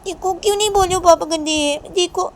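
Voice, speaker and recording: Woman voicing a high, whiny little girl. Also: fast talker, a little hiss or hum. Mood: indignant, tearful. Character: young daughter, upset, pleading and indignant. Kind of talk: amateur story acting.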